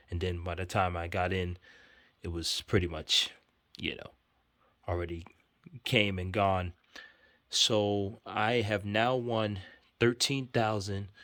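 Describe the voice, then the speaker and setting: A man's voice: sits low, fairly bright tone, dry recording. Laid-back New man reciting stats. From New York City. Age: 30s. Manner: rambling, self-assured, stat-heavy. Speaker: vlogger. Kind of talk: casual spoken update.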